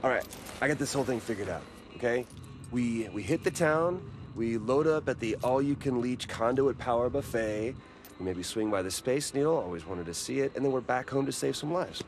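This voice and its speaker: A man's voice: mid-range voice, expressive, fairly quick, noisy background, lightly acted. An actor playing a brash, cocky young man with new superpowers in a video game cutscene. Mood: earnest, eager. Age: young adult. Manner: brash, breezy, slangy.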